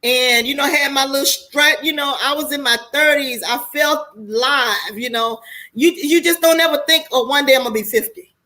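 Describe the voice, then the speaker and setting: A woman's voice: high voice, moderate pace, pushed and bright, poor audio. An American woman of forty-eight talking casually to her online viewers, candid and preachy, in loose colloquial speech with free swearing. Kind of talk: casual livestream monologue.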